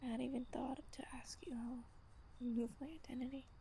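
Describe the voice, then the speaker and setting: A woman playing an ancient, wistful vampire lover in a soft, breathy ASMR roleplay, telling her tale slowly and intimately. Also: sits high, little pitch movement, a little hiss or hum. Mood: fond, tender. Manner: gentle, slow, intimate storytelling.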